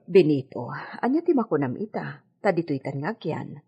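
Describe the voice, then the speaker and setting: A woman's voice: sits low, sing-song, normal speed, mellow, husky, muffled recording, full character voice. An actress with an Ilocano accent playing a loyal, patient housemaid in a radio drama, deferential and soothing as she reasons with others. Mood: reasoning, chiding. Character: loyal, patient housemaid of a hacienda villa, woman. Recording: music bed under the voice.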